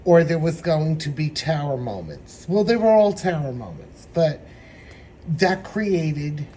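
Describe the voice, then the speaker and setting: Hoarse guy doing a tarot reading, kinda high voice, slow and rambly. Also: warm tone, a little hiss or hum, poor audio. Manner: meandering, reflective, slow-spoken. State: hoarse, throat trouble. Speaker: tarot reader.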